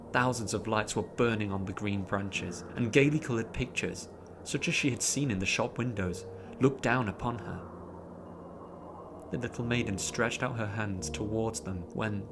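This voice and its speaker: A man narrating a story in a dramatic, expressive audiobook style, drawing out words theatrically. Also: fairly low pitch, noisy background. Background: music behind the voice.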